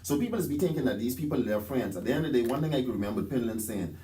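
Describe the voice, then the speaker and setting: Bahamian taxi driver guy, mid pitch, going off on a passionate rant. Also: expressive, very fast, dark tone, soft background music. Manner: emphatic, preachy rant with acted-out quotes. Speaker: taxi driver and podcast guest. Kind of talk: casual podcast chat.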